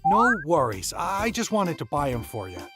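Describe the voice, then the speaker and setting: A woman's voice: sits low, very animated, normal speed. A woman voicing an earnest, generous young male student in a manga dub, with a flustered, hesitant way of talking, in dialogue and first-person narration. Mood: admiring. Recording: music behind the voice. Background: background music.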